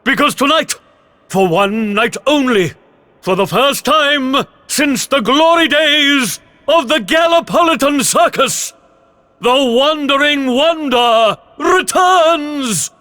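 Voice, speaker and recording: A grand circus ringmaster. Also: male voice, very high-pitched, expressive, takes it slowly, sharp tone, heavily acted. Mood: rousing. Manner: grand, rousing announcing. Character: booming showman circus ringmaster. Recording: game music behind.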